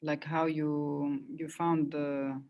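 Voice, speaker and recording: Host, woman, very low and gravelly voice; halting, searching questions. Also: flat delivery, dull audio. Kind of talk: casual conversation.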